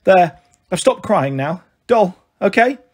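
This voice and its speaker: A woman's voice: mid-range voice, animated, fairly quick, darkish tone, slightly rough, voice pushed up. A woman voicing a flighty, self-pitying mother of thirty-three with a British accent, gloomy and emotional in an audiobook. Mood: gloomy, tearful.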